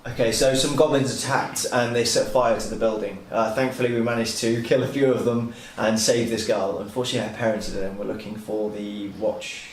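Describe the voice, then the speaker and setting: A man's voice: mid-range voice, fairly even intonation, a little fast, few pauses. A man playing a tabletop game, speaking casually for his character. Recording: roomy, light background noise.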